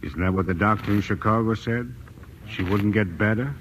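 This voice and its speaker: An actor playing a mock-polite, calmly threatening crime boss in a 1940s-style radio drama. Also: male voice, lowish voice, normal speed, natural voice. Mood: sly, insinuating. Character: smooth, menacing male crime syndicate boss. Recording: old radio recording, faint noise.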